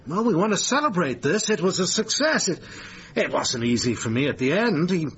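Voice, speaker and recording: An actor playing a smooth, manipulative theatre manager and controlling lover in a naturalistic radio drama. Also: male voice, sits high, sing-song, brisk, highs cut off. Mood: upbeat, smug. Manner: cajoling, domineering, self-satisfied.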